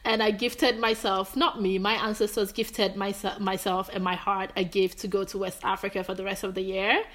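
Young adult woman from Greece, interview guest; animated, searching delivery with many restarts. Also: medium pitch, normal speed. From Greece, of Nigerian heritage. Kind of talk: casual conversation.

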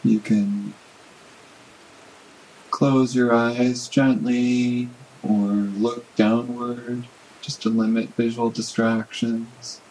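A man leading a guided body scan meditation in a gentle, unhurried manner with long pauses. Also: mid-range voice, flat delivery, a little hiss or hum, low-quality recording.

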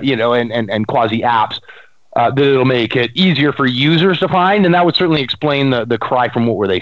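A man's voice: fairly low pitch, a bit bright, dry recording. Stuffy guy with a cold, rambling on a talk show, dry dull sound. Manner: rambling, searching for words. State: stuffy, getting over a cold. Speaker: podcast co-host. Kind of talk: casual chat.

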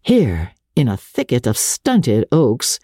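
Husky, low-toned woman reading dramatically. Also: no echo, clean studio sound. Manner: measured, expressive, theatrical. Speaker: audiobook narrator and radio presenter. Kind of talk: dramatic literary audiobook reading.

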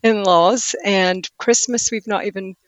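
A woman's voice: medium pitch, steady tone, a little slow, dry recording. Yorkshire lady w a head cold, chatty n self-deprecating, dry sound. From England. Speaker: podcast co-guest. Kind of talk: casual chat.

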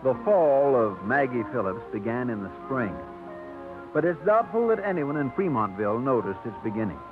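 A man giving measured, gently wry scripted narration for a radio drama. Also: mid-range voice, very animated. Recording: tinny old radio broadcast over music.

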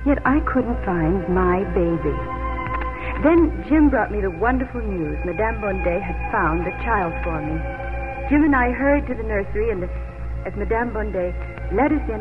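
Actress as hopeful would-be mother, 1950s radio play: high, heartfelt, halting delivery, music underneath on a narrow vintage recording. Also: lightly acted. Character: earnest, tender American woman longing to adopt a baby. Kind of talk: 1950s radio drama acting, first-person storytelling. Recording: muffled vintage radio broadcast over music.

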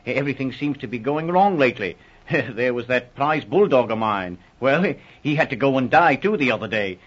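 A man's voice: mid-range voice, expressive, a little fast, slightly rough. An actor playing a flustered, humble police subordinate and father in an old radio drama, with hesitant, folksy, ungrammatical speech. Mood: flustered, gloomy. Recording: muffled old 1940s radio recording.

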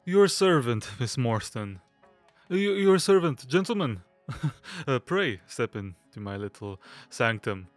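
A man playing a fussy, nervous, hypochondriac young gentleman in an audio drama, stammering and obsequious. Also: mid-range voice, sing-song, slow, dry recording, soft background music. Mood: fawning, nervous. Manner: stammering, fidgety, obsequious, nervous little laughs.

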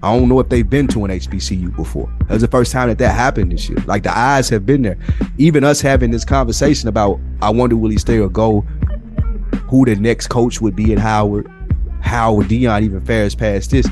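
A man with a low voice co-hosts a casual, opinionated podcast. He talks informally and stresses points by repeating them. Music plays clearly behind him. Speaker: podcast co-host. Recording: music bed under the voice.

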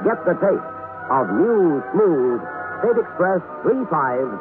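A man's voice: a little high, sing-song, slow pace, loud music behind the voice. A man reading as an announcer in a 1960s radio advertisement. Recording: muffled old radio recording.

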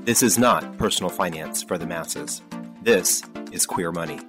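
A man's voice: deep voice, moderate pace, over music. A male podcast host delivering a scripted intro and then asking questions with emphatic energy.

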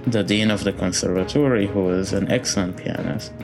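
A man from Egypt, a concert pianist, answering interview questions thoughtfully with many pauses and fillers. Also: fairly low pitch, over music.

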